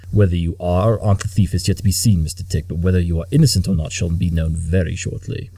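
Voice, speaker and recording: A man voicing a coolly arrogant, analytical pony detective, curt and measured, in an audio drama. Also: low-pitched, steady tone, creaky, warm tone, husky, dry recording, heavily acted. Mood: bored, cutting. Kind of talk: audio drama character acting.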